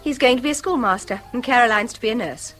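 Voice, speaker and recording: A woman's voice: fairly high pitch, quick pace, a bit bright, faint music behind, natural voice, rough recording. Woman acting English schoolmaster's wife, radio drama, restrained, polite, hesitant delivery. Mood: apologetic, restrained.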